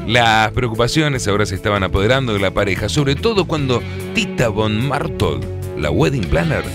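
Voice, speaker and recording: A man's voice: lowish voice, sing-song, moderate pace, music in the background. A man delivering mock-dramatic scripted narration for a radio play.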